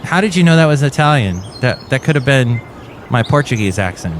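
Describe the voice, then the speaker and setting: A man in a mid-range voice hosts a comedy podcast, rambling from one joke to the next with dry, deadpan asides. Faint traffic and street noise run underneath. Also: mid-range voice, a little fast. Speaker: podcast host.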